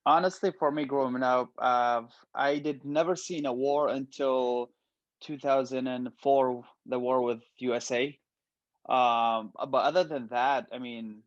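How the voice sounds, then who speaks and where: A man's voice: mid-range voice, no echo. Iraqi-born man with dull, dry audio. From Iraq. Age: late 20s. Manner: relaxed, lots of ums and likes. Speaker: bodybuilder guest. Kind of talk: casual interview.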